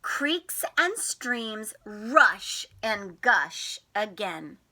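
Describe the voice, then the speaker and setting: A woman teacher reading a picture book to young children in an expressive, sing-song way, putting questions to them. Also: medium pitch, very slow. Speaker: teacher and storytime host.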